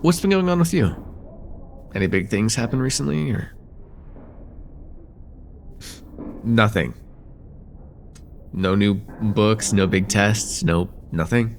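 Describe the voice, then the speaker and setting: A man's voice: on the low side, a little hiss or hum. A young man playing a friendly, slightly nervous college student in a casual, stammering slice-of-life ASMR roleplay chat. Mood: casual, teasing. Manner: hesitant, stammering, self-deprecating, casual.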